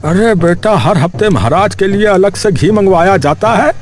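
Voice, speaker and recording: Man acting a high-voiced chatty old villager. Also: lively intonation, normal speed. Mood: kindly, trusting. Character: chatty elderly village man, genial and simple. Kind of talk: Hindi animated moral story voice acting. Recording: faint music behind the voice.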